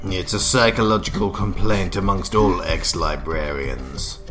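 Sadistic clown villain, low-pitched, slow, pompous mock courtesy, loud music beneath, audio drama. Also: male voice. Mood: mocking, gleeful. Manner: pompous, mock-literary, taunting.

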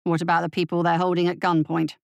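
A woman's voice: fairly low pitch, completely flat delivery, fast, gravelly, no echo, studio quality, voice pushed down. A woman voicing a stern, principled male police captain with a curt, commanding manner in an audiobook. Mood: tense, demanding.

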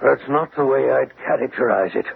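A man's voice: mid-range voice, moderate pace, creaky, breathy edge, exaggerated voice. An actor playing a stern, anguished middle-aged father with formal, theatrical delivery in an old radio drama. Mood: troubled. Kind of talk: vintage radio drama acting. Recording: tinny, hissy old radio recording.

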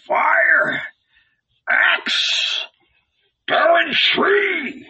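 A man voicing a gaunt, fierce desert prophet who rants and shouts commands. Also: extremely high pitch, takes it slowly, voice pushed up, full character voice. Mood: ranting. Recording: dull lo-fi audiobook recording.

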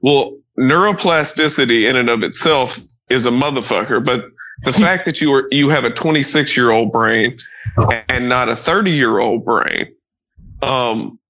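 Man, thirties, podcast host, bright tense tone, profane, animated, emphatic. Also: medium pitch, measured pace, rough recording. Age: 30s. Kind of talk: casual conversation.

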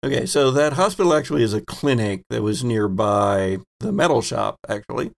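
A man acting as game master, narrating an improvised tabletop game in a halting, deliberate way. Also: mid-range voice, vocal fry.

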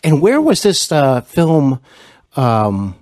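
A man hosting a casual interview, speaking in a hesitant, halting way and offering compliments. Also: fairly high pitch, huge pitch swings, darkish tone, no room sound, studio quality. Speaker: podcast interviewer, host.

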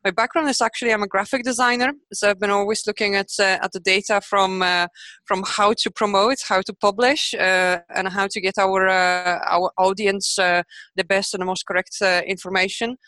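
A woman explaining her work as a podcast guest, with halting phrasing and very frequent hesitations. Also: mid-range voice, fairly even intonation, sharp tone, no room sound. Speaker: podcast guest, project manager. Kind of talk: explanatory interview talk.

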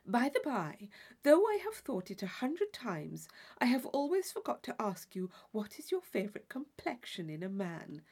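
A woman's voice: on the high side, sing-song, moderate pace, a little mellow, husky, exaggerated voice. A woman voicing an affected, gushing young society lady in an audiobook of a classic novel, with theatrical emphasis. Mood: gushing, coy.

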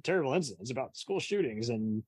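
A man's voice: medium pitch, animated, fast talker, crowd noise. A man hosting a casual interview, rambling through his opinions like a keen film buff.

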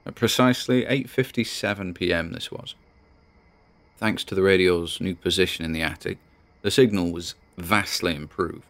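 A low-pitched British actor plays an obsessive amateur sleuth keeping an audio log. He is chatty and wry, often interrupting himself as he talks through his findings in this audio drama. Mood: absorbed, uneasy. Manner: informal, self-interrupting, wry. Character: obsessive, chatty amateur investigator grandson named Nigel.

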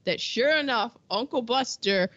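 A woman's voice: a little high, a little slow, a bit bright, dry recording. A woman chatting casually as a podcast co-host, giggly and jumping between tangents. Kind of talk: casual chat. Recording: dull lo-fi sound.

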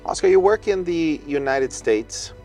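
A man co-hosting an interview podcast, questioning his guest in a friendly, lively way. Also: on the high side, a little slow, soft background music. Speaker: podcast co-host.

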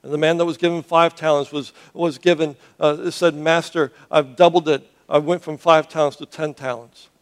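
A man's voice: a little high, steady tone, moderate pace, hardly any pauses. A man who grew up in Cuba, preaching a sermon to a congregation in an earnest way, often restarting words and asking the audience questions. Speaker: pastor.

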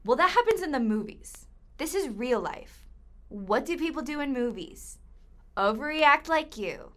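Blithe high school girl kidnapper for audio drama, unhurried, taunting, fairly high; a young woman acts it. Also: lively intonation, a bit bright. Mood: breezy. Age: young adult. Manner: casual, taunting. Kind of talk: student audio drama acting.

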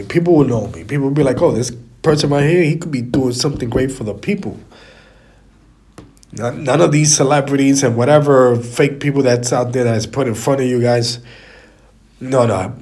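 A man with a raspy, stuffy voice from a cold, talking casually and in a rambling way as a solo podcast host, repeating phrases for emphasis. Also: medium pitch, darkish tone. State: stuffy, slightly hoarse from a cold.

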